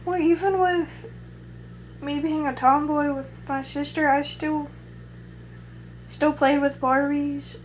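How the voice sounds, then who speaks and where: Young woman, fairly high voice, hesitant, rambling, lots of restarts, casual vlog chat. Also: fairly even intonation, slow pace, pauses often, slight background noise, rough recording. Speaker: vlogger.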